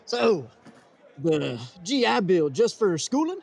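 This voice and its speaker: A man's voice: sits high, very animated, takes it slowly, creaky, darkish tone, full character voice. A man playing an earnest, naive friend of war veterans, the innocent straight man in radio sketch comedy. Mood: earnest, curious. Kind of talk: old-time radio sketch comedy acting.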